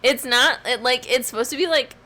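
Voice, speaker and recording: A woman co-hosting a podcast in casual chat, hoarse from swollen tonsils, rambling with lots of "like" and "um". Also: high voice, a little fast, bright, forceful tone. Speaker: podcast co-host.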